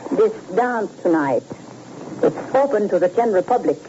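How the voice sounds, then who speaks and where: A woman playing a skeptical, measured female accomplice of a crime boss in an old radio drama. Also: medium pitch, expressive, close to an everyday voice. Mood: worried. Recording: muffled old radio recording, faint noise.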